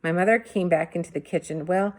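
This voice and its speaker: A woman teacher reading a storybook aloud. Her voice sits a little low for a woman, and her narration is expressive. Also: moderate pace.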